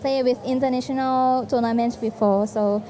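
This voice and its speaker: A young-sounding woman from Thailand with a Thai accent answers in short, halting English. She laughs shyly between phrases, and her voice is high but mellow over a faint hiss. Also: steady tone. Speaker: esports player.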